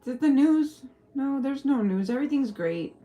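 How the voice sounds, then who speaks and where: A woman's voice: high voice, animated, normal speed, mellow. A woman from El Paso with a Texan accent, chatting casually on a livestream, rambling and swearing freely. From El Paso, Texas. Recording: faint music behind.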